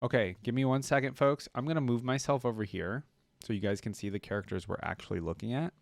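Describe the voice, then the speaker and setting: A man's voice: medium pitch, fast talker, creaky. A man giving casual reaction commentary as host of a gaming video.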